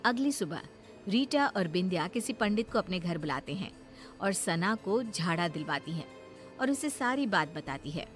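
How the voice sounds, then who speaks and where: A woman's voice: lowish voice, lively intonation, normal speed, soft background music. A woman giving scripted narration for a cartoon horror story.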